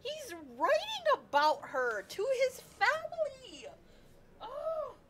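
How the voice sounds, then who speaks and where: A young woman streaming a game, gushing and rambling like an excited fangirl. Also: very high voice, takes it slowly, a little hiss or hum. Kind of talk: excited live game commentary. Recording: game music playing under the voice. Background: game music.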